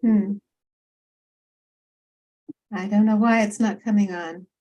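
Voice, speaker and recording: A woman's voice: medium pitch, steady tone, takes it slowly, warm tone. Woman hosting an online meditation session. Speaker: host of an online meditation program. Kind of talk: welcoming announcements to a group. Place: online video call.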